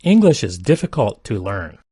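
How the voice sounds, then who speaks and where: A man reading simple English practice sentences aloud, each one twice, in a careful, even delivery. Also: fairly low pitch, moderate pace, breathy edge, dry recording, clean studio sound. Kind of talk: slow repeated sentence reading for learners.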